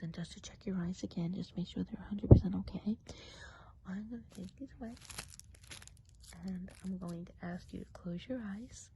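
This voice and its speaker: A woman as a hushed, gentle Christmas witch. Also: fairly low pitch, gravelly, noisy background. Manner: hushed, unhurried, chatty, often trailing off. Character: kindly Christmas witch of the woods, gentle and chatty. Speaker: ASMR role-play performer. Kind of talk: soft-spoken and whispered ASMR role play.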